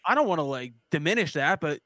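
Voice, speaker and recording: Bright-voiced Philly-accented podcast host. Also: male voice, a little high, big pitch swings, quick pace, no echo, clean studio sound. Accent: Philadelphia. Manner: joking, profane, laughs often. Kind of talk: casual chat.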